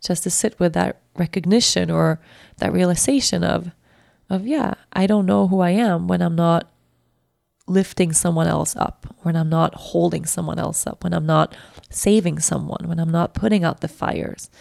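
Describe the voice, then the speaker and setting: A woman in her thirties from Sweden with a Swedish accent, hosting and talking candidly and reflectively, halting and restarting as she thinks. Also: on the low side, creaky, no echo, studio quality. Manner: candid, halting, self-reflective with frequent restarts and soft laughs. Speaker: podcast host. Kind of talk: personal reflective monologue.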